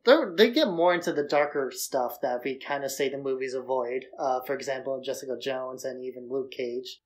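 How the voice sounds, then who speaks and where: A man's voice: mid-range voice, normal speed. Man casually co-hosting a film podcast. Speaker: podcast co-host.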